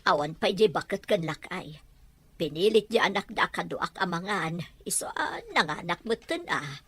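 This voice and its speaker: A woman's voice: on the low side, lively intonation, moderate pace, bright, forceful tone, breathy edge, no echo, exaggerated voice. A woman with a Filipino accent acting plaintive, put-upon family women in an audio drama. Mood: weary, plaintive.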